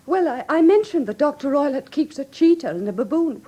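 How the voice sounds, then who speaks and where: A woman's voice: high-pitched, animated, a little fast, dark tone. An actress playing a refined, anxious young woman in a classic radio drama, with a Received Pronunciation accent and genteel, earnest, precise diction. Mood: haunted, tense. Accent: English Received Pronunciation. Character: refined, anxious young woman recounting her sister's death.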